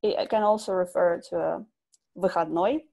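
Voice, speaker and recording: A woman from Ukraine teaching English in an online lesson, explaining vocabulary patiently and clearly. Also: on the low side, steady tone, moderate pace, a little mellow. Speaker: English tutor. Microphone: video call.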